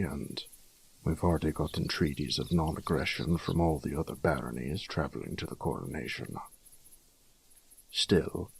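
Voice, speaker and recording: A man playing a gruff, fatherly older lord in a medieval fantasy audio drama, blunt and hearty, with a husky, gravelly voice and archaic, formal speech. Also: a bass voice, a little mellow, exaggerated voice.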